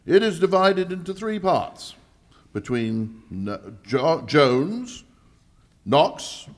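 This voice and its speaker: An actor playing a pompous, formal English lord in a live old-time radio mystery, speaking with declamatory emphasis. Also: male voice, on the high side, sing-song, drawn-out words, full character voice. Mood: proud, emphatic. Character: pompous English lord guarding the nation's gold vault. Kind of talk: old-time radio drama acting on stage. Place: live stage performance at a conference.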